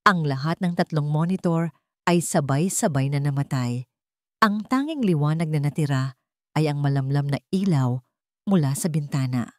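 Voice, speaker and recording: A woman reading a dramatic scripted story with a measured, suspenseful delivery. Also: low-pitched, lively intonation, dry recording, clean studio sound. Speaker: story narrator.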